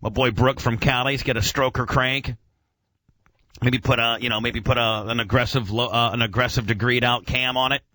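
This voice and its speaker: Bright, forceful man rambling in gearhead talk. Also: mid-range voice, fairly even intonation, normal speed, no room sound. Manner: rambling, enthusiastic gearhead jargon, mild swearing. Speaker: radio show host. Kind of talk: casual motor-head radio chat.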